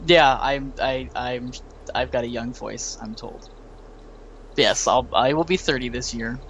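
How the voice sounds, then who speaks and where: A man in his late twenties chatting casually about games, telling quick anecdotes in a talkative way. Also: mid-range voice, a bit bright, dull audio, a little hiss or hum. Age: late 20s. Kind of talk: casual gaming chat.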